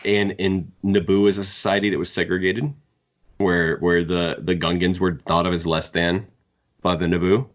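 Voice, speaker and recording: A man's voice: low-pitched, level tone, moderate pace, lo-fi sound. A man co-hosting a book podcast, talking casually and analytically as he gives his opinions. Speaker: podcast co-host discussing books.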